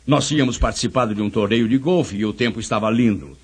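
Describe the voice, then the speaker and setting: A man's voice: fairly low pitch, quick pace, husky. A man with a Brazilian accent giving an emphatic motivational speech, telling anecdotes and posing rhetorical questions. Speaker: motivational speaker.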